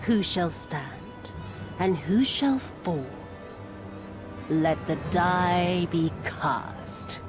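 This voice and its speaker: Sadistic female imperial spy, slow theatrical delivery, bitter, video game villain, prominent music behind. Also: lowish voice, animated. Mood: mock courteous, menacing. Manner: slow, dramatic, savoring words, dropping to whispers.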